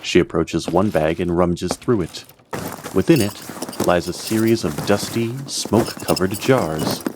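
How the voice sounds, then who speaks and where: A man narrates a fantasy tale as its storyteller. His voice sits very low and his delivery is measured and literary. There is some noise in the recording.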